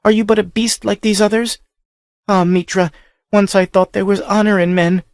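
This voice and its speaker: A desperate young noblewoman captive, voiced by a male audiobook narrator, her voice lifted high above his own. She pleads in a breathless, urgent rush, and the recording is close and dry with no room sound. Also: steady tone, moderate pace, no echo, lightly acted. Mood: furious. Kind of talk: audiobook character voice.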